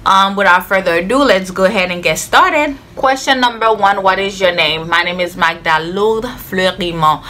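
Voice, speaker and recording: A woman's voice: medium pitch, bright, forceful tone. A young woman in her twenties with a Haitian accent, chatting earnestly to camera in her bedroom with lots of "like" fillers. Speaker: vlogger. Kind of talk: casual chat to camera. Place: bedroom, indoors.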